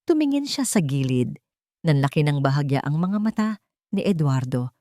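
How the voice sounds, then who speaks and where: Female narrator, lower register, close dry sound, measured dramatic delivery for romance fiction. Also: sing-song, dark tone, no echo. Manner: measured, theatrical storytelling. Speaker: story narrator. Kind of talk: dramatic scripted narration of a Tagalog romance story.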